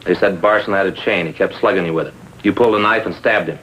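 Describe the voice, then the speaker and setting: An American man playing a plain-spoken police sergeant, the steady partner in a 1950s TV crime drama. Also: deep voice, fast talker. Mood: pressing. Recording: faintly noisy vintage TV soundtrack.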